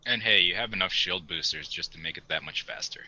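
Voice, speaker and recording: Deep, bright-edged man, animated delivery. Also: brisk, poor audio. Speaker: podcast panelist. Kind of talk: casual joking banter.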